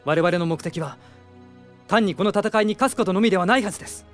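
An actor playing a gentle, idealistic young prince who speaks politely and thoughtfully in a radio drama. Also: male voice, high-pitched, fast, soft background music. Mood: earnest, gentle. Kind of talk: Japanese radio drama acting.

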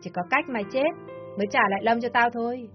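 A woman voicing a spiteful, shrill villainess. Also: moderate pace, lightly acted. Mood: menacing. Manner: harsh, contemptuous and shouting. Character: cruel jealous woman kidnapper, spiteful and threatening. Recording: dull lo-fi audio with soft music.

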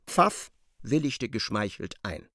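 A man giving expressive literary narration in a radio drama, as a storyteller. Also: on the low side, slow pace, no room sound, clean studio sound, heavily acted.

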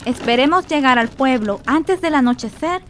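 A woman's voice: sits high, expressive, moderate pace, a little hiss or hum. A woman playing a caring, anxious household woman with an earnest manner in an amateur radio drama. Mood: worried.

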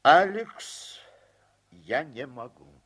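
A man acting in a radio play as an earnest, deferential judge, speaking in a deliberate and guarded way. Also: on the high side, big pitch swings, a bit bright, breathy edge, heavily acted. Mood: guarded, uneasy. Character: earnest, deferential male judge with political ambitions.

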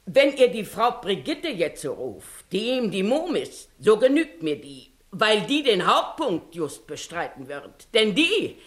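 A woman's voice: mid-range voice, very animated, a little slow, hardly any pauses. An actress in a 1960s German radio comedy in verse, playing an insistent village woman who makes her accusation emphatically. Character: indignant, insistent village woman bringing a complaint.

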